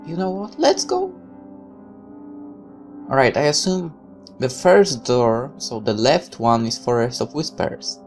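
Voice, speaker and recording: A man with a mid-pitched voice reads a story game aloud as a let's player. He narrates expressively and slips into casual asides of his own. Music plays behind his voice. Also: big pitch swings, slow pace, long pauses, a little mellow. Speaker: gaming let's player reading a visual novel aloud.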